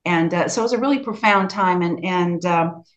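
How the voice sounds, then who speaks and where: A middle-aged woman from the United States with a soft Southern US accent, answering interview questions in a slow, measured way with frequent fillers. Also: lowish voice, muffled recording. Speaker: guest, sound healing practitioner.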